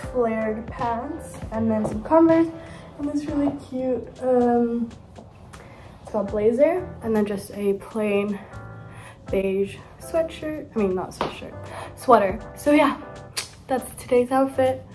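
A woman's voice: on the high side, slow pace, a little mellow, rough recording. A young woman student chatting casually through her day, upbeat and chatty, her throat slightly sore from a recent cold. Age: young adult. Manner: chatty, upbeat, lots of "so yeah" and "like". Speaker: student vlogger. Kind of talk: casual day-in-the-life vlog. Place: home room with blinds and a bathroom. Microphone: phone or camera at arm's length. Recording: music playing underneath.

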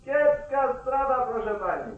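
An echoey, tinny old radio recording of an anxious deputy. His voice is extremely high for a man, and he speaks with polite, deferential urging. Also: big pitch swings, a little slow, heavily acted. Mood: urgent. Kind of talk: 1940s Polish radio play acting.

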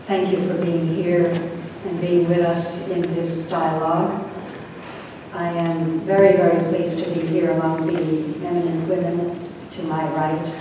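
A woman in her sixties speaking as a panelist at a community event, measured and reflective. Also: lowish voice, little pitch movement. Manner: measured, reflective, a few restarts. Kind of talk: panel talk. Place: community meeting hall, indoors. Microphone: table mic on stand. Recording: echoey hall from far off, noisy.

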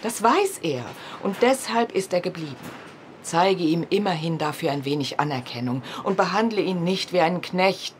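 A woman's voice: on the low side, expressive, normal speed, slight background noise, natural voice. An actress playing a caring, persuasive middle-aged farm wife and mother in a radio drama. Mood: earnestly persuasive.

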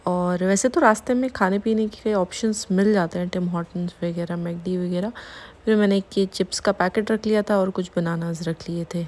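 A woman narrates her family vlog in a mellow, relaxed voice. She sits slightly low for a woman and talks casually, as if catching up with friends. She sounds to be in her thirties. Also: normal speed. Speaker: family vlogger. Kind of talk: casual vlog narration mixing Hindi and English.

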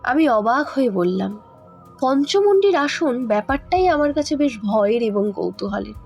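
A woman playing an awed, curious young woman who narrates a horror story in the first person, expressive and earnest. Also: on the high side. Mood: astonished.

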